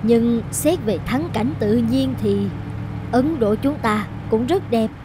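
A woman voicing a wealthy, kindly middle-aged lady in an animated moral tale, speaking politely and deliberately. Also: fairly high pitch, background noise. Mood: friendly.

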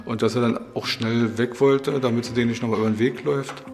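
A man's voice: medium pitch, steady tone, fast talker. A man, a teacher, recalling events in an interview. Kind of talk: recollection in an interview. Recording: hall reverb, faint music behind.

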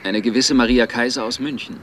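An actor playing a polite, probing police detective with a businesslike, questioning manner in a crime radio play. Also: male voice, medium pitch, steady tone, brisk, fairly bright tone, close to an everyday voice. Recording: noisy outdoor scene ambience.

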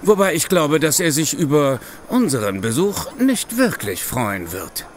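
An actor playing a gruff, sarcastic male police chief inspector in an audio drama, speaking insistently. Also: on the high side, very animated, unhurried. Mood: grim, certain. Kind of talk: German audio drama acting.

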